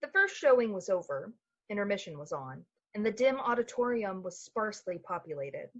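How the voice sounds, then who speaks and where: A woman with a breathy voice delivering scripted, dramatic narration for a suspense radio play. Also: mid-range voice, very animated, fairly quick, lo-fi sound. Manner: dramatic, literary storytelling.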